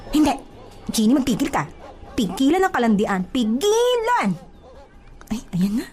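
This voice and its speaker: High, animated, flirty Filipina housemaid played by a woman. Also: measured pace. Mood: flustered. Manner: exaggerated, teasing, swinging between coy and scolding. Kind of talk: melodramatic Filipino radio-style comedy drama.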